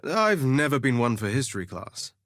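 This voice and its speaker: An actor playing a vain, flirtatious gladiator duelist in a video game, with a theatrical, suave and sardonic delivery. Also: male voice, mid-range voice, very animated, normal speed, a bit bright, no room sound, studio quality. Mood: cocky, wry. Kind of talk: scripted game voice lines, theatrical quips.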